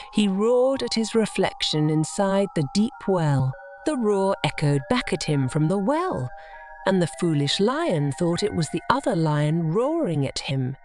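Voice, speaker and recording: A woman's voice: medium pitch, a little mellow. A woman narrating scripted bedtime stories for children as a storyteller. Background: music.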